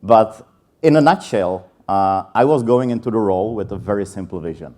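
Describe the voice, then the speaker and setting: A man's voice: lowish voice, normal speed, darkish tone. A man in his thirties with a Czech accent, giving a candid, self-deprecating conference talk with frequent filler sounds. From Czech Republic. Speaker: conference speaker. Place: conference stage.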